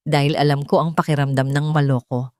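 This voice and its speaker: A woman's voice: low voice, flat delivery, brisk, dry recording, studio quality, close to an everyday voice. A woman with a Filipino accent voicing a protective, authoritative wealthy man in a romantic story. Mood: tender, earnest. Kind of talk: romantic audio drama storytelling.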